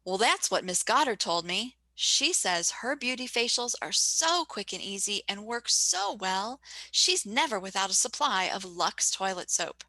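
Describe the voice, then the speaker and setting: A woman's voice: medium pitch, very animated, a little slow, bright, forceful tone, dry recording, exaggerated voice, low-quality recording. A woman playing a chatty, gushing Hollywood reporter delivering 1940s-style radio advertising patter. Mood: gushing, friendly.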